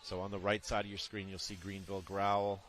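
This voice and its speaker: Guy doing paintball play by play from a booth, lowish voice, jokey. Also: monotone, fairly quick, fairly bright tone. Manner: chatty, joking with co-host. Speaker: paintball commentator. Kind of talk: live play-by-play commentary.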